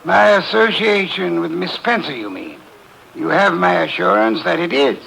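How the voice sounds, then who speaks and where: An actor playing a pompous, portly genius detective in a 1950s radio drama, speaking in a haughty, deliberate way. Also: male voice, sits high, lively intonation, vocal fry, bright, forceful tone, full character voice, poor audio. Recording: old radio broadcast with faint hiss.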